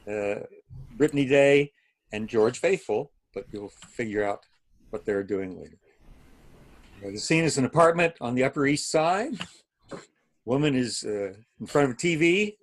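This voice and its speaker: A man hosting an evening of play readings over a video call, casually introducing the next piece. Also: a little high. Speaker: host introducing plays. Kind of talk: casual live event introduction.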